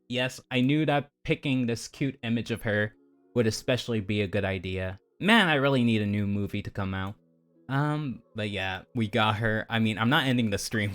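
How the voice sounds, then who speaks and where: A man's voice: mid-range voice, a bit bright. A man streaming a game, chatting casually to viewers in a rambling, geeky, slangy way. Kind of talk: casual livestream chat to viewers while playing.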